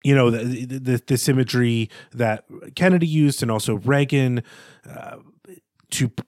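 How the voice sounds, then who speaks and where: A man's voice: medium pitch, moderate pace, darkish tone, no echo. Thoughtful man hosting a podcast, close dry sound. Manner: thoughtful, articulate, hesitant with stammered repeats. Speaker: podcast host. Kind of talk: literary analysis in conversational monologue, with quoted passages.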